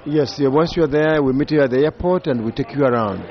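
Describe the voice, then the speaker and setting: Ugandan man, muffled, halting. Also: mid-range voice. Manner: hesitant, searching for words with many fillers. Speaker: safari tour operator. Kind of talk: phone-in radio interview.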